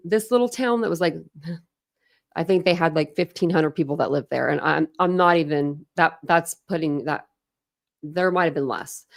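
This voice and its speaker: Female livestream host, Midwestern accent, slightly low register with a gravelly fry, chatty and rambling. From Ohio. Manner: rambling, heavy use of "like", restarts. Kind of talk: casual rambling chat.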